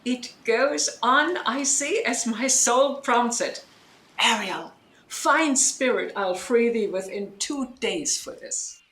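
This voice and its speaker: Woman for stern Shakespearean sorceress, a mother in control; sharp tone, fairly high, deliberate, roomy sound. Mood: pleased, secretive. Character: commanding, scheming sorceress mother of a young maiden. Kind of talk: Shakespearean audio drama. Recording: echoey room, remote recording.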